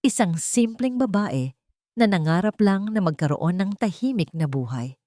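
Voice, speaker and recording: A woman's voice: medium pitch, animated, moderate pace, mellow, no room sound, studio quality. A woman reading a scripted romantic story as a dramatic narrator.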